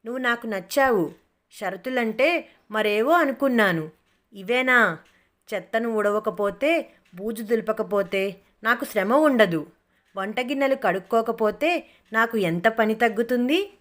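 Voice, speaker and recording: A woman voicing a stubborn, cunning village wife in an animated story, brazen and insistent. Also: mid-range voice. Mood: breezy, pleased. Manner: brazen, insistent, quick to retort. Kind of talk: animated moral story character voice.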